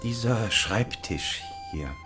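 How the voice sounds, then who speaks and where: An actor playing a stubborn, curt bourgeois father in a radio play, firm and quick to take offence. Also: on the low side, expressive, music in the background. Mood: businesslike. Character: stubborn, indignant bourgeois father buying a desk.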